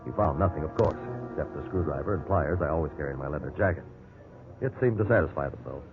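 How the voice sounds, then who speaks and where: A man's voice: extremely low pitch, fast talker, phone-quality sound, music in the background. An actor playing a respectable, wrongly accused businessman telling his story, earnest and conversational, in 1950s radio drama style. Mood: wry, measured narration. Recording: thin band-limited 1950s radio recording, hiss.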